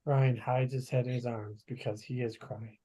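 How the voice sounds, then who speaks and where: Casting: female narrator, strikingly low, mellow and slightly husky, scripted stage directions. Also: steady tone, normal speed, low-quality recording.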